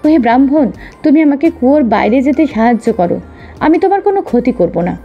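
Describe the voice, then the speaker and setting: A woman's voice: high-pitched, lively intonation, a little fast, muffled recording, faint music behind, voice pushed up. A woman voicing a tiger in a children's story, pleading and grateful. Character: tiger trapped in a well, promising and grateful.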